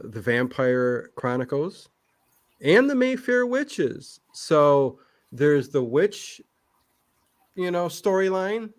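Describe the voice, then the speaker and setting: A man's voice: mid-range voice, dry recording, clean studio sound. A man chatting casually as an interview guest, rambling and hesitant, often repeating words as he works out a thought. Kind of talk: casual personal chat.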